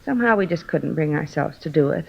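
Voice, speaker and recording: Weary resigned sister, hesitant, lowish creaky voice, old muffled radio drama. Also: female voice, lively intonation, fast, heavily acted. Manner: hesitant, confiding. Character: resigned, troubled sister of a sick man. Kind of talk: 1950s radio drama acting.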